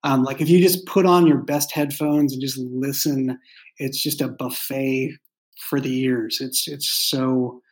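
Man talking haltingly in podcast discussion. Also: mid-range voice, fairly even intonation. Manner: halting, searching for words. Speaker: audio drama creator and writer.

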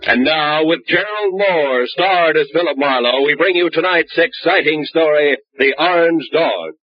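A man giving a formal, measured announcement to open an old radio show. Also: fairly high pitch, slight background noise. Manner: stately, measured delivery. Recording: dull old radio broadcast with music.

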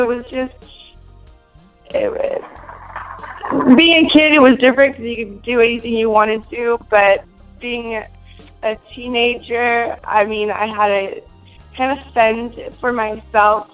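Young woman from minnesota, 20, talks slow with lots of ums, kinda muffled sound, faint music under it. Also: medium pitch. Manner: hesitant, many fillers, giggly. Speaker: interview guest. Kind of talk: casual interview answers.

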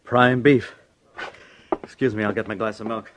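A man's voice: on the low side, fairly even intonation, moderate pace, natural voice. An American man playing a fussy, anxious, apologetic office worker in a 1950s radio drama. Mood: eager, chatty. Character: fretful, apologetic male office worker fussing over his ulcer. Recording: noisy vintage radio recording.

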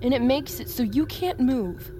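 A woman's voice: high-pitched, animated, moderate pace, noisy background. An actress playing a young female Army recruit, defiant, sardonic and haunted, in a naturalistic audio drama. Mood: guarded, uneasy. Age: young adult.

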